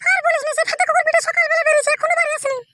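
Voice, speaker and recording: Woman as fierce squeaky cartoon wife, extremely high. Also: steady tone, racing. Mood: angry. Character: foul-mouthed, fierce young wife, squeaky and threatening. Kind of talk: comic cartoon dialogue.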